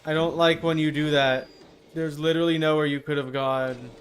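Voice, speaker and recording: A man's voice: on the high side, fairly even intonation, moderate pace. A man doing casual live video game commentary, talking wryly to himself and to the game's enemies. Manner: talks to self and game enemies, wry.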